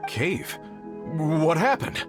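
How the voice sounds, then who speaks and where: An actor playing an earnest, helpful leader of a search crew in a video game, polite and a little flustered. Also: male voice, a little high, big pitch swings, extremely slow, music in the background, exaggerated voice. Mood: worried, relieved. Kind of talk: game voice acting.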